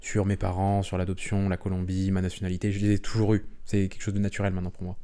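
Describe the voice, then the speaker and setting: A young French man chatting openly as an interview guest, relaxed and rambling, with frequent restarts and fillers. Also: deep voice, quick pace. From France. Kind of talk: casual conversational interview.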